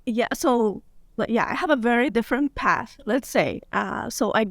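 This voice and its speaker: Gravelly-voiced woman lawyer guest, dry sound. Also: a little high, normal speed, dry recording. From Morocco, grew up in Spain. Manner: upbeat, candid, laughs easily. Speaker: guest, international business lawyer. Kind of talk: casual interview conversation.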